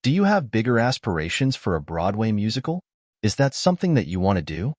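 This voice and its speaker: A man's voice: fairly low pitch, very animated, a little fast, a little mellow, no echo, studio quality. A man working as an entertainment interviewer, asking questions in a friendly, conversational way during a press interview.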